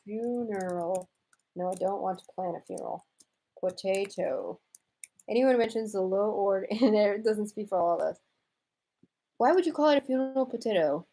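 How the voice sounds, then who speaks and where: Casting: American woman, live-stream host, mellow relaxed voice, casual and wry, loose slangy delivery. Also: medium pitch, moderate pace. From United States, raised in Houston, Texas. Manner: wry, irreverent, informal with slang. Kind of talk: casual chat, reading and answering viewer comments.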